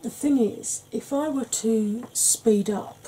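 A soft-spoken woman in her forties with a Welsh-tinged northern English accent chats slowly through a makeup tutorial. Her voice is very dark and mellow, with a wry edge. A faint hum sits behind her in a small room at home. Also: a little high, low-quality recording. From Wales and Yorkshire. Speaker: makeup vlogger.

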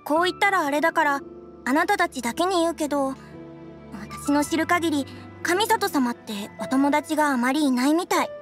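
A woman's voice: high-pitched, normal speed, natural voice. A woman playing a friendly restaurant owner in an anime game, speaking in a familiar, confiding way. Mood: chatty, confiding. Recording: music playing behind the voice.

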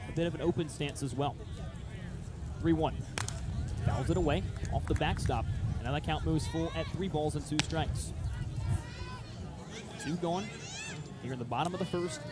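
A man doing live baseball radio play-by-play in short, descriptive phrases. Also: fairly high pitch. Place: outdoor ballpark broadcast position.

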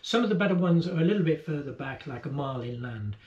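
A man's voice: on the high side, lively intonation, fast talker. A middle-aged man co-hosting a sailing channel, explaining a port in casual chat from his boat cabin. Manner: chatty, explanatory, trailing off at line ends. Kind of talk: casual travel guide chat. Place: boat cabin, seated indoors. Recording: echoey, dull lo-fi sound.

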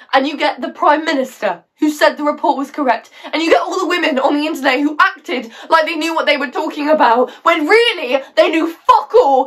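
A woman acting a theatrical monologue with a British accent as a bitter, wounded woman, sardonic, halting and emotionally volatile. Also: mid-range voice, recorded from a distance, close to an everyday voice. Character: bitter, wounded woman confiding a painful story.

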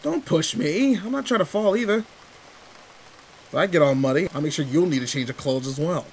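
A man's voice: a little high, normal speed, slight background noise, lightly acted. A man playing a tall, protective, secretly sweet teenage delinquent in an intimate roleplay audio drama, talking in casual, teasing slang. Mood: playful teasing. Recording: steady rain ambience behind voice. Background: rain in a forest.

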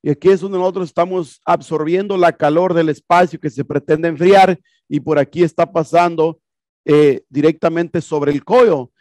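A man's voice: a little high, steady tone, moderate pace, a little mellow, dry recording. Man teaching HVAC, chatty. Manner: casual, repetitive explaining with rhetorical questions. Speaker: HVAC instructor. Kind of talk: classroom lecture explaining a projected video. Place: classroom with projector screen.

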